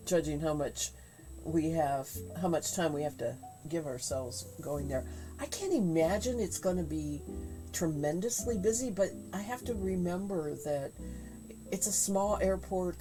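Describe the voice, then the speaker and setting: Woman, low voice, congested head cold, rambling casual stream chat, faint background music. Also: rough recording. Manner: rambling, halting with many fillers. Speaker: livestreamer spinning yarn. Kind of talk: casual chat while spinning. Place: home craft room. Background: faint quiet music.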